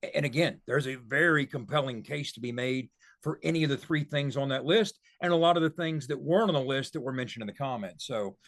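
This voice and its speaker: A man's voice: medium pitch, brisk. A man co-hosting a sports podcast, giving conversational analysis and repeating points for emphasis. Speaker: podcast co-host.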